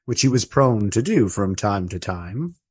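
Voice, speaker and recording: A man playing an old friar in a radio play, speaking in formal, ornate, deliberate phrases with gallant fondness. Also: lowish voice, very animated, a little mellow, slightly rough, heavily acted. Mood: fond, wry. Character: courtly old Trappist friar, fond, wry, gallant storyteller.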